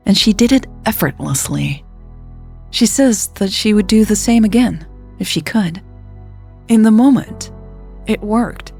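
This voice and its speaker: A woman storyteller reading scripted fiction like a book, in a measured, reflective and deliberate way. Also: sits low, creaky, a little mellow, slightly rough. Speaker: podcast storyteller.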